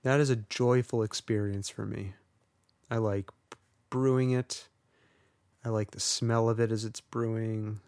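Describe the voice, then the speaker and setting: A man with a low voice talks through his day in relaxed podcast chat. He rambles a little and pokes fun at himself. The sound is close and dry, with no room around it. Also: measured pace, long pauses, no echo. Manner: wry, rambling and self-deprecating. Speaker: podcast co-host. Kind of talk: casual chat.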